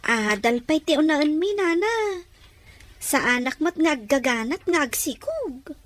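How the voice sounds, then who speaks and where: High-voiced modest young woman played by an actress. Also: lively intonation, takes it slowly, a bit bright, heavily acted. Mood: respectful. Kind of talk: acted Ilocano audio drama.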